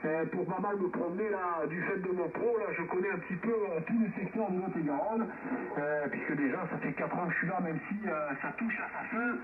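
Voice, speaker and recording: Man on noisy, high-pitched radio transmission. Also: a little fast, narrow, tinny audio. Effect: two-way radio transmission. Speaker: amateur radio operator in a group contact. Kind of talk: casual radio round-table chat. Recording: noisy, echoey, band-limited shortwave radio reception.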